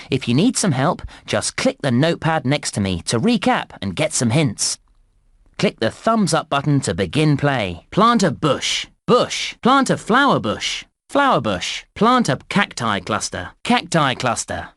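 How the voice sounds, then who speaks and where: A British man voicing a cheerful, encouraging theme park guide in a children's computer game, speaking clearly and enthusiastically. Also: on the high side, a bit bright, dry recording, clean studio sound, full character voice. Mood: friendly, instructive, then plain announcing. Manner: enthusiastic, clearly enunciated children's presenter delivery. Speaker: game narrator and tutorial guide. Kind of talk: scripted children's game narration.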